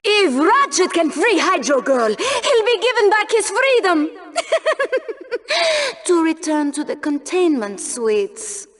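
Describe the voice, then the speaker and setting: Sadistic female video game commentator, high voice, lively swooping intonation, polished presenter delivery, menacing relish. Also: slow pace, full character voice. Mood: gleeful cruelty. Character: bloodthirsty, gleefully sadistic female combat TV co-anchor. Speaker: sports show co-host. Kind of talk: mock newscast commentary.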